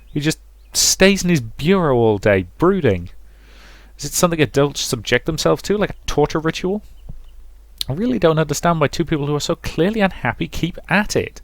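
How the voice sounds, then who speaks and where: A man's voice: on the high side, lively intonation, moderate pace, no echo, voice pushed up. A man voicing a brash, sweary, dramatic sixteen-year-old girl in a visual novel, complaining emphatically. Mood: indignant, blunt.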